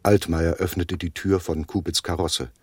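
An actor playing a man recounting a deadly shootout in measured, literary narration for a German radio play. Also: low voice, little pitch movement, a little mellow, lightly acted. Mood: grave, measured.

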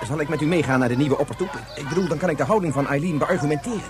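A man's voice: a little high, racing, crowd noise, lightly acted. A man playing an earnest space traveller from Earth in a radio drama, wordy, hesitant and argumentative. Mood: inquiring. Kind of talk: Dutch radio drama acting.

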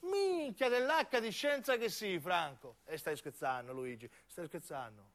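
A man's voice: sits high, expressive, a little slow, fairly bright tone, exaggerated voice. A man playing an irritable, joking young traveller with a southern Italian accent and a brash, slangy manner. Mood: teasing, exasperated.

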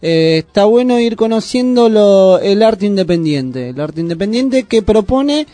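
A man's voice: sits high, expressive, a little slow, mellow, no echo. A man co-hosting a community radio show, offering reflective comments. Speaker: radio co-host. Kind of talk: casual radio chat.

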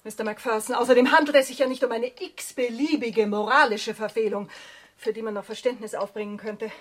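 An actress playing a prim, haughty moralist in a radio drama, speaking in a stiff, formal, indignant manner. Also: female voice, a little high, fairly even intonation, a little fast, lightly acted. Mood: scandalised. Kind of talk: 1970s German radio drama acting.

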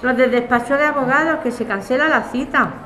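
A woman's voice: sits high, a little fast, a crowd in the background, natural voice. A woman with an accent from Spain playing a chatty, motherly café woman in a naturalistic audio drama. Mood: puzzled. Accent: Spanish, from Spain. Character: suspicious, easily alarmed neighbourhood café woman, chatty, motherly. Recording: clearly noisy background ambience.

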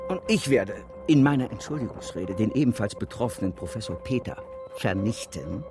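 A man's voice: fairly low pitch, expressive, moderate pace. Ruthless pr boss guy, glib corporate spin, radio play, music behind him. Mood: smoothly calculating. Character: slick, ruthless male PR agency boss. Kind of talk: German radio drama acting. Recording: music under the voice.

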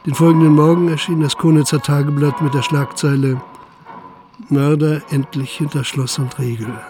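Actor as principled police commissioner, slightly high-voiced. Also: male voice, steady tone, moderate pace, music in the background, close to an everyday voice. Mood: sober, resigned. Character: principled Prussian police commissioner, dogged and indignant. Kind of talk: German radio drama acting, dialogue and first-person narration.

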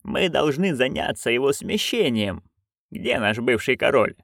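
Brief: narrator doing a grim old witch, low-key, slow, clipped. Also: gravelly, no echo, clean studio sound, exaggerated voice. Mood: stern. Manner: curt, flat, slow and quiet. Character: stern, stubborn old witch woman.

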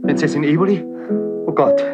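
An actor playing an impetuous, proud young crown prince in a classical stage drama, with theatrical, declamatory delivery. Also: male voice, fairly high pitch, very animated, fairly quick, loud music behind the voice. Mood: flustered. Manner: theatrical, declamatory, shifting between muted irony and outburst.

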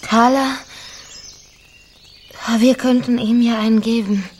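A woman's voice: fairly high pitch, steady tone, slow, a little mellow, a little hiss or hum. An actress playing a naive, childlike, grieving young backwoods woman in a radio drama, timid and pleading.

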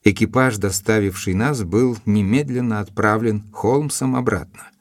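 Casting: male actor as loyal gentleman storyteller for detective drama; measured, slightly low voice, close dry studio sound. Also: dry recording, close to an everyday voice. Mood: reflective. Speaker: narrator. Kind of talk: audiobook drama narration.

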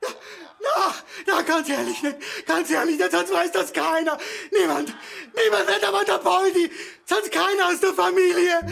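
A shrill sobbing terrified gardener. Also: male voice, steady tone, a little slow, vocal fry, a bit bright, full character voice. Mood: sobbing pleading. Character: terrified grown male gardener begging.

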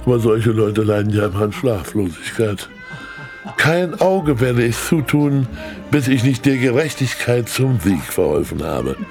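An actor playing a very fat older state councillor in a radio play, jovial, sly and self-mocking, with a theatrical delivery. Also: male voice, mid-range voice, big pitch swings, a little slow, darkish tone, soft background music. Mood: genial, knowing.

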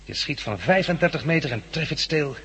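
A man's voice: fairly high pitch, lively intonation, brisk, a bit bright, husky, heavily acted. A man acting a practical, decisive survivor of a catastrophe in a 1960s Dutch radio drama, explaining things in an instructive way. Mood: brisk, instructive. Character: practical, knowledgeable male survivor of a plant catastrophe. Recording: noisy 1960s radio broadcast recording.

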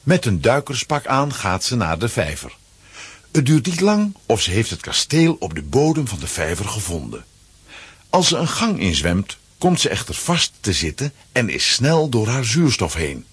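A man with a Flemish accent and a husky voice, narrating a children's adventure as a scripted audio drama. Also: mid-range voice, huge pitch swings, normal speed, dry recording, heavily acted. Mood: storytelling.

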